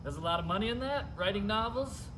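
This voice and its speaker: A sardonic middle-aged dad, read by a man needles his son with dry jokes. The voice is slightly high, and clear noise sits behind the room recording. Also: animated, quick pace. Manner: dry, teasing.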